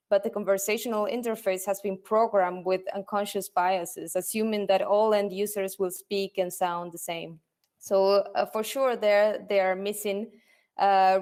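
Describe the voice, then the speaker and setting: A woman's voice: mid-range voice, darkish tone. A woman whose first language is Spanish, answering interview questions as a UX designer, often repeating words as she searches for the right phrasing. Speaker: UX designer guest. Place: lives in Germany.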